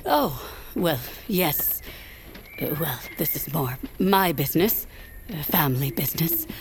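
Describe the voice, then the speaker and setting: A woman playing an anxious, affectionate highborn aunt in a fantasy audio drama, speaking in formal, old-fashioned phrases. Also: expressive, measured pace, a little hiss or hum. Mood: anxious, pleading. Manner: formal, archaic phrasing, hesitant.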